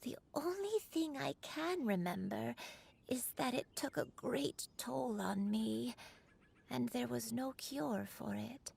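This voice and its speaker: An actress playing a gentle, forgetful elderly grandmother in a video game, telling things in a slow, wistful way. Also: a little high, lively intonation, gravelly, a little hiss or hum, heavily acted. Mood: wistful recollection. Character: frail elderly granny, gentle, forgetful and self-blaming. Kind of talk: scripted video game dialogue.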